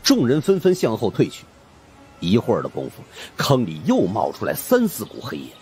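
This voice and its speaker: A man's voice: medium pitch, wildly swooping pitch, moderate pace, a little mellow, faint music behind. A man narrating a horror story in a suspenseful, theatrical way. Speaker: audiobook narrator.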